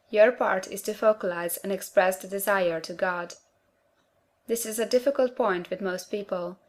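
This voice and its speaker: A woman narrating a self-help audiobook in a measured, earnest way, articulating each phrase clearly. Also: medium pitch.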